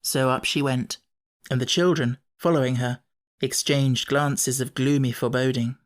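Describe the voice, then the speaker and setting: A man reading scripted narration for a children's audiobook, as its narrator. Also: a little high.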